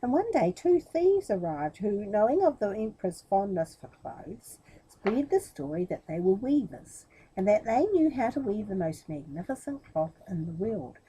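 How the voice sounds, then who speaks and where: A woman's voice: medium pitch, big pitch swings, moderate pace, hardly any pauses, warm tone, husky, rough recording. A woman reading a children's storybook expressively, talking directly to young listeners as the storyteller.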